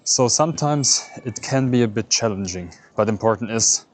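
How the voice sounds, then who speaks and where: A man's voice: lowish voice, normal speed, dry recording. German man vlogging his travels. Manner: relaxed, explains step by step. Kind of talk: casual travel vlog narration. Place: airport and busy city street.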